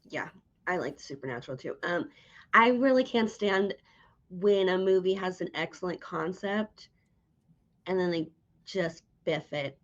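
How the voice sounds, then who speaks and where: Low-voiced woman, informal, slightly muffled audio. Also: lively intonation, a little slow. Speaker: interviewer, podcast host. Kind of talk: casual chat.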